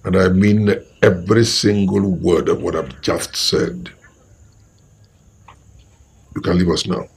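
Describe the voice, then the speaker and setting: A man's voice: very deep voice, slow, gravelly, long pauses, fairly bright tone, slight background noise, heavily acted. A man playing a stern king who scolds his daughter and threatens his guards. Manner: commanding, threatening. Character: stern commanding king, angry father.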